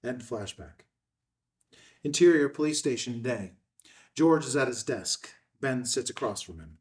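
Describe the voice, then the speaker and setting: A man's voice: medium pitch, lively intonation, unhurried, far from the mic. A man reading scene headings and stage directions in a clipped, scripted narration at a table read.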